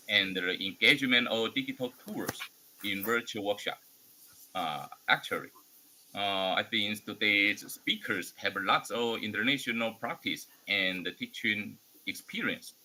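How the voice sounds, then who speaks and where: Forum host: man, Taiwanese accent, Mandarin native, lowish bright voice, formal yet hesitant, slight background noise. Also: low-quality recording. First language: Mandarin Chinese. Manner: halting, hesitant non-native English delivery. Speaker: host of an online academic forum. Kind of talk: formal welcome speech.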